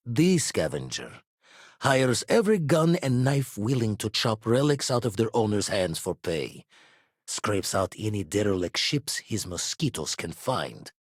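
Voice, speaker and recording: A man voicing a weathered, shrewd ex-pirate spacefarer in a video game, guarded but loyal, with a husky voice and theatrical, slangy turns of phrase. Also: mid-range voice, dry recording, studio quality, full character voice. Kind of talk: scripted game character dialogue.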